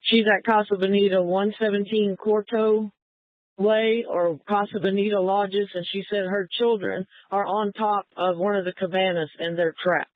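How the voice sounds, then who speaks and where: A man's voice: high voice, fairly even intonation, normal speed, dry recording. A man, a firefighter, giving an emergency report over two-way radio. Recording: thin phone-like emergency radio.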